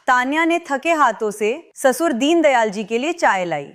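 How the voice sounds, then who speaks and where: A woman tells a scripted family story with high, clear narration. Her delivery stays even and expressive throughout. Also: normal speed.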